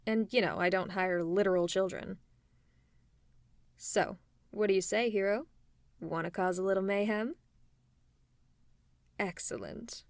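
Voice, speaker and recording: A woman's voice: medium pitch, unhurried, pauses often, no echo, natural voice. A woman playing a sly, sardonic supervillain with a caring streak in a roleplay audio drama, speaking in a teasing, conversational way. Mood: coaxing, persuasive.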